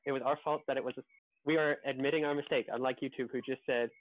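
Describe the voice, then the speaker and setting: A man's voice: medium pitch, steady tone, fast talker, no echo, phone-quality sound. A man chatting casually and jokily as a co-host on a group livestream. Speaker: audio editor and co-host on a stream.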